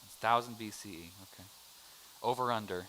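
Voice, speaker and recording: A man's voice: fairly low pitch, a little fast, a bit bright, a little hiss or hum, poor audio. Joking man teaching a church class. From United States. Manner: chatty, joking, quizzes the class. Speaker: adult education teacher. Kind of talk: casual Bible class teaching.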